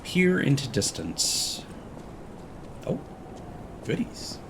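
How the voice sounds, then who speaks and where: A man doing casual let's play commentary with very varied intonation. He is friendly and informal as he talks to viewers, with faint game ambience behind his mid-pitched voice. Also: huge pitch swings, very slow, creaky, background noise. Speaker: livestreamer playing a video game.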